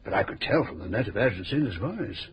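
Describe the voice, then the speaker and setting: An actor playing a courtly, pompous older former inquiry agent in a radio drama, speaking in a florid, formal way. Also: male voice, on the low side, big pitch swings, quick pace, a little hiss or hum.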